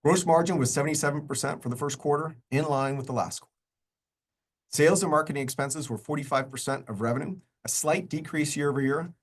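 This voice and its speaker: A man with a mid-pitched voice reads a prepared corporate earnings presentation. His delivery is formal and measured, in the businesslike way of a finance executive addressing investors. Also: husky. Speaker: company finance executive presenting quarterly results. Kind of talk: scripted earnings-call presentation.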